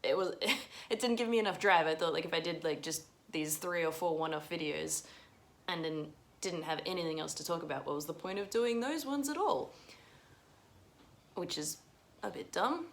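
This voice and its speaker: Woman vlogging, rambly, laughs at herself a lot, kinda low voice. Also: moderate pace, creaky. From Russia. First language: Russian. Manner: rambling, self-deprecating, laughs often. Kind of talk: unscripted vlog ramble.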